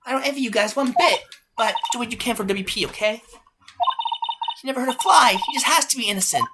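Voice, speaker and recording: A woman's voice: on the high side, expressive, quick pace, heavy background noise, voice pushed up, lightly acted. A woman voicing a chatty, scolding young studio props assistant in a game, blunt and talkative. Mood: earnest. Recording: loud music over the voice.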